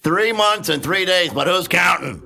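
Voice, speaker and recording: A boisterous man joins live radio banter as a guest. His voice is loud and sharp, sitting somewhat high for a man. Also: a little slow, vocal fry.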